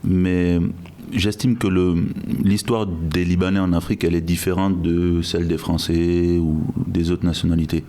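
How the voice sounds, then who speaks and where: A man who grew up in Guinea, speaking as a guest in a podcast interview in a measured, reflective way with frequent restarts. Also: low-pitched, little pitch movement, dark tone. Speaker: guest, logistics director.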